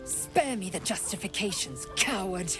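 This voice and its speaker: A woman's voice: mid-range voice, big pitch swings, moderate pace, over music, close to an everyday voice. A woman playing a proud, hostile alien warrior in a video game, speaking in curt, scornful, formal phrases. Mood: cold contempt.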